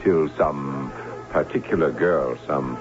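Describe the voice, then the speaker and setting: An actor playing a courteous, earnest young German nobleman home from the wars, theatrical and measured in an old-time radio drama. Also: very deep voice. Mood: cordial. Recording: muffled, noisy old radio broadcast, music.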